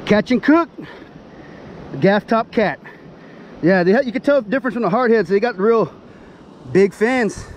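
A middle-aged man chatting casually and excitedly in slang for an outdoor fishing vlog, recorded outdoors on an action camera. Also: high-pitched, normal speed, long pauses, a little hiss or hum. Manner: excitable, slangy, calls people bro and baby. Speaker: fishing vlogger. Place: outdoors on a surf beach. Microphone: body or handheld action camera.

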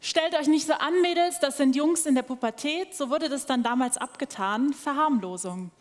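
A young woman opens the evening from the stage, her voice bright and high as it rings through a large hall with long reverb. She speaks conversationally, turning straight to the audience. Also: normal speed. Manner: conversational, addresses the audience directly. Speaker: event host. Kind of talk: staged opening speech.

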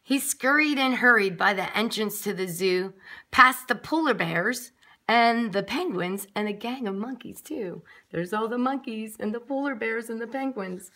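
A woman's voice: a little high, animated, normal speed, pushed and bright. A woman nursery teacher reading a picture book aloud expressively, with chatty asides to young children. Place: classroom, indoors.

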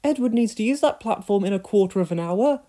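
A flustered railway signalman in a children's storybook, acted by a male reader. His voice rides high as he speaks apologetically. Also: lively intonation, fast, dark tone. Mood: flustered apology.

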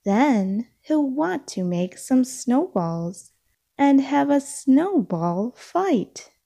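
A woman reading a children's storybook aloud, with deliberate, expressive phrasing for young listeners. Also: high voice, creaky. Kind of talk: read-aloud storybook narration.

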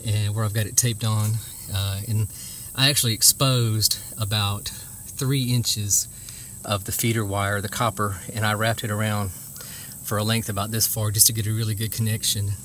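A man's voice: fairly low pitch, little pitch movement, moderate pace, a bit bright. A man giving a casual, plainspoken, folksy how-to explanation, recorded outdoors on a handheld camera. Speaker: homesteader giving a how-to demonstration. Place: outdoors in a rural yard. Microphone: handheld camera or phone mic. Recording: outdoor ambient noise with insect chirping.

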